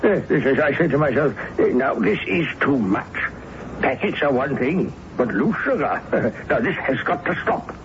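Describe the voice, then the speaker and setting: A man's voice: medium pitch, animated, moderate pace, lightly acted. Man acting fussy, stammering manservant on noisy radio. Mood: fussy indignation. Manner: hesitant, stammering, fussy, polite. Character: deferential, flustered manservant, prim and discreet. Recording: dull noisy old radio broadcast.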